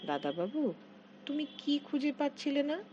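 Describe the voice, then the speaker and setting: A woman's voice: high-pitched, big pitch swings, moderate pace, darkish tone, dull audio, pitched above the performer's own voice. A coy housemaid from a short story, read out by a woman, gushing and affected as she tries to please. The intonation moves up and down freely over faint background noise. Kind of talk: storybook character reading.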